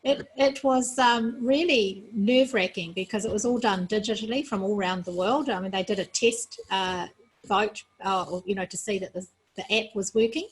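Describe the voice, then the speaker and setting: A husky-voiced woman speaks as an interview guest, explaining in a measured, earnest way. She sounds tired after a night without sleep. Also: mid-range voice, poor audio. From New Zealand. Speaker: sports federation president, guest.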